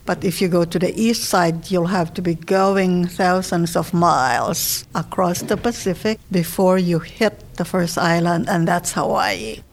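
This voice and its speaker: An elderly woman from the Philippines speaks with a Filipino accent in a slightly low register. She shares personal history as an interviewee, reflective and measured in her storytelling. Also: a little hiss or hum. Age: 70s. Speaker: interviewee telling life story. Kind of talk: oral history personal narration.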